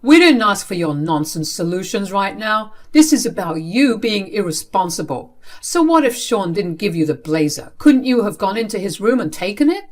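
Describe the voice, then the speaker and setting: A woman's voice: fairly low pitch, expressive, moderate pace, close to an everyday voice. An angry, stern father from a family drama story as voiced by a woman narrator. He scolds and accuses with hard authority, laying down punishments as the unfair head of the house. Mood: angry, accusing.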